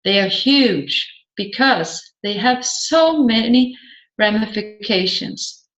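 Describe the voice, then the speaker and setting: Female audiobook narrator, unhurried deliberate delivery, emphatic questions, medium pitch, slightly dull recording. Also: animated, a bit bright. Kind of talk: scripted audiobook narration of a seminar transcript. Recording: slightly dull, roomy echoing sound.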